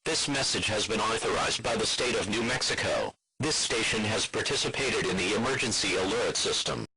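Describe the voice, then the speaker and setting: A synthetic male voice reading a scripted emergency broadcast announcement in a formal, flat manner. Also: lowish voice, normal speed, heavy background noise. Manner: formal, flat synthetic text-to-speech delivery.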